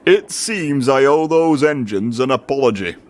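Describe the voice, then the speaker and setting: A man's voice: mid-range voice, animated, measured pace, darkish tone, lightly acted. A man reading a children's storybook, voicing an authoritative male railway boss. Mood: realising.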